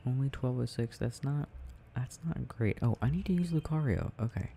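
A young adult man rambling softly and casually in a quiet, sleepy murmur as he plays a game, in an ASMR style. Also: medium pitch, expressive, moderate pace, vocal fry. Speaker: audio roleplay creator. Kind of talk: soft casual rambling while gaming, ASMR sleep aid. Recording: faint background noise.

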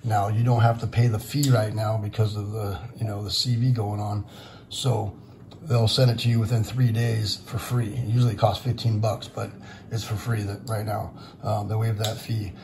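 Hoarse guy rambling to his viewers, kinda flat, noisy audio. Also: on the low side, moderate pace. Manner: rambling, frustrated, many fillers. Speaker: channel host, silver stacker. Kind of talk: casual vlog talk to viewers. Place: indoors at a table over silver bars. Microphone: camera pointed down at the table, speaker off screen.

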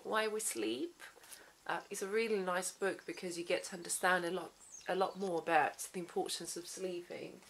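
A woman's voice: lowish voice, steady tone, normal speed, gravelly, fairly bright tone, slight background noise. A woman in her thirties vlogging at home about her day as a lawyer, chatty and reflective, with many ums and restarts, recorded on a handheld camera in a small living room. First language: Swedish. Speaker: vlogger, human rights lawyer. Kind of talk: casual day-in-the-life vlog. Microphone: phone or camera at arm's length, then camera on the floor.

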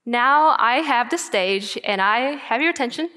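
A young woman in her twenties from northern China, a native Chinese speaker with a Mandarin Chinese accent, giving an earnest prepared talk on a stage and slowing down to stress her phrases. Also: on the high side, expressive, sharp tone. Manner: earnest, deliberate with slow emphatic phrases. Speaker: student speaker. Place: large auditorium stage. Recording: long hall reverb.

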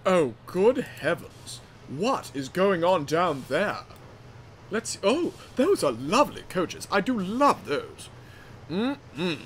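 A man's voice: sits high, sing-song, slow pace, a little hiss or hum, exaggerated voice. A man voicing a prissy, indignant talking engine in a comic game roleplay. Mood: fussy admiration.

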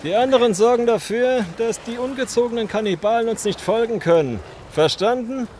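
A man's voice: very high voice, a little fast, a little mellow, a little hiss or hum. A man in a German audio drama playing a ruthless, smug gang boss with mock-polite, theatrical menace. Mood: smug, commanding.

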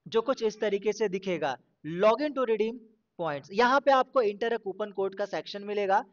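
A man's voice: high-pitched, highs cut off. A man hosting a screen-recorded shopping tutorial, speaking in a casual, instructive way and mixing English words into his talk. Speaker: YouTube channel host.

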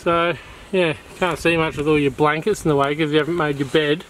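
A man's voice: a little high, steady tone, brisk. A middle-aged man with an Australian accent, filming a camping trip and joking with his kids in a casual vlog. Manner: laid-back, joking banter with his kids. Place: in a car, then outdoors at a bush campsite. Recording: noisy outdoor camera audio.

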